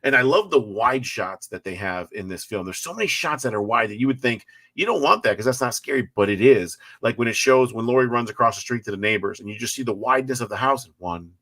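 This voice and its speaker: A man in his forties, a guest filmmaker, talking movies with emphatic enthusiasm and quick restarts. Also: mid-range voice. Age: 40s. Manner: enthusiastic, emphatic, repeats words when excited. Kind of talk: casual live-stream chat.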